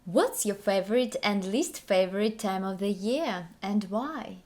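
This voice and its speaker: A woman's voice: mid-range voice, animated, measured pace, a little mellow. A woman with a Russian accent, a native Russian speaker, co-hosting an English lesson in simple, careful question-and-answer conversation with some hesitant phrasing.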